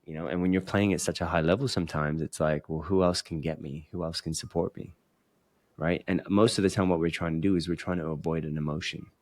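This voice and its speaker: Male coach guest, very low register, dry acoustic, earnest instructive conversational delivery. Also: darkish tone, no echo. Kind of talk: conversational explanation of personal growth.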